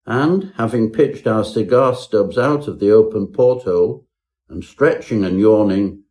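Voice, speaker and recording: Male audiobook narrator, low and very mellow, theatrical delivery for mock-Victorian ghost fiction. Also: unhurried. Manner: ornate, theatrical storytelling. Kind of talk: scripted narration, parody Victorian ghost story.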